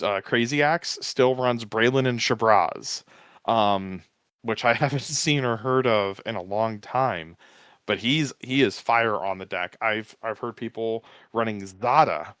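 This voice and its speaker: Guy with a smooth resonant voice, rambling easy chat, dry close mic. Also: mid-range voice, fairly bright tone, dry recording. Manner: easygoing, rambling, restarts with fillers. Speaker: podcast guest, tournament commentator. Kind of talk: casual interview chat.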